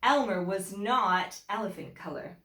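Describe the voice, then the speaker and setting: A woman teacher reading a children's picture book aloud in an expressive, deliberate way, with emphatic phrasing for young listeners. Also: mid-range voice. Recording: echoey room.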